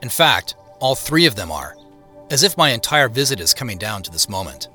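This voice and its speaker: A man's voice: mid-range voice, sing-song, a little fast, sharp tone. Man narrating scripted audio over music. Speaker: writer, narrator and lead actor of an audio drama.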